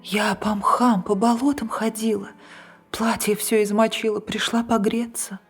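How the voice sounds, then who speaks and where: A woman's voice: a little high, level tone, a little slow, husky, soft background music, pitched above the performer's own voice. Fairy-tale girl, woman-voiced, young and polite, raised pleading voice. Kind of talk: storybook reading.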